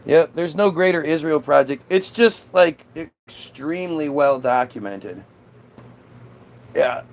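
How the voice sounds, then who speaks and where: Guy on a livestream arguing w chat, kinda higher voice, sweary and dismissive, casual rant. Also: slow pace. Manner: combative, dismissive; frequent swearing. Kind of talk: casual political rant, replying to chat comments.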